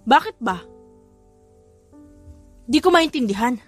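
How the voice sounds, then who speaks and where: A woman's voice: high-pitched, lively intonation, takes it slowly, soft background music, full character voice. A woman voicing a charming, clumsy young father in a romance audio drama, apologetic and sweetly sincere. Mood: sheepish.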